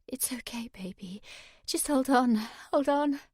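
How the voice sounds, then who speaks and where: A woman voicing a desperate pregnant teenage girl who cries and shouts. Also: high-pitched, level tone, a little slow, dry recording, studio quality, voice pushed up. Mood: pleading whisper. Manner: shouting, tearful.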